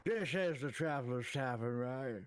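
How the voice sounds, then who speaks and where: A man's voice: mid-range voice, expressive, normal speed, natural voice. A man voicing a bumbling, self-important trucker in improvised game roleplay, with earnest, repetitive salesman patter. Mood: flustered. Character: bumbling, self-important male trucker hawking big business.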